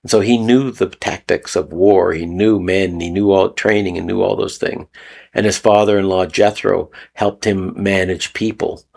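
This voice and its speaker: A man's voice: low voice, fairly even intonation, moderate pace, vocal fry, a little mellow. A man from Canada giving a rambling, casual spoken teaching talk, repeating phrases and chuckling often. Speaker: video host. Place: lives in Mexico.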